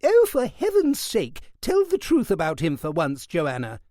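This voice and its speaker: Fierce old aunt: high, swooping, acid and indignant. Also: male voice, wildly swooping pitch, unhurried, warm tone, no echo, studio quality. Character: sharp-tongued elderly aunt, fierce and scornful.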